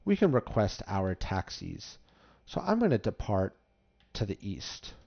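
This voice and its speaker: A man with a fairly low voice walks through a game as tutorial host, casual and instructional. The recording is a little dull. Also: sing-song, a little slow, gravelly. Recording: slightly dull, muffled microphone.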